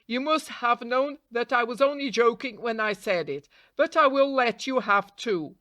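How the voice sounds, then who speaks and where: A woman's voice: fairly high pitch, normal speed, fairly bright tone, dry recording, lightly acted. A woman voicing a put-upon but sly donkey in a storybook, with a reasoning, wheedling manner.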